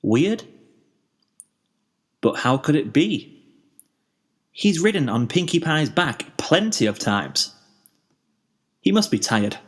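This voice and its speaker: A man reading a story aloud as narrator, in an expressive scripted style. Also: a little high, slow pace, long pauses, breathy edge. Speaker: fan fiction narrator.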